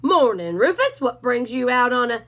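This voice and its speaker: Lady doing a sly smart-aleck cowdog, dry wisecracks, high bright voice. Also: big pitch swings, normal speed. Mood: wry. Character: self-important male cowdog, wisecracking and sly.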